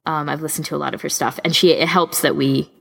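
Low-pitched, creaky-voiced woman podcaster. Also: steady tone, fast talker. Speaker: podcast co-host. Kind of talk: casual podcast chat.

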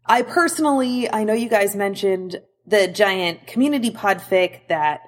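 A woman's voice: medium pitch, animated, unhurried, in a large hall. A woman giving casual recorded feedback to a podcast as a listener. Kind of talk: casual informal spoken feedback.

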